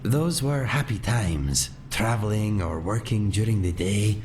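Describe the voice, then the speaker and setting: A man playing a gentle, courteous cat-folk sellsword in a fantasy game, speaking in measured, formal phrases without contractions. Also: fairly low pitch, lively intonation, a little hiss or hum. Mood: fond, reminiscing. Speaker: companion character in a fantasy video game. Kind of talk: scripted game dialogue. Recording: faint music under the voice.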